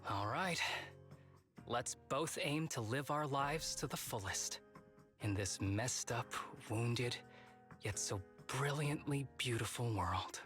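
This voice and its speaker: A reflective young fighter living with illness in a dramatic video game. He speaks softly and without hurry, wistful and philosophical, with music clearly playing behind him. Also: mid-range voice, slow. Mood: solemn, tender.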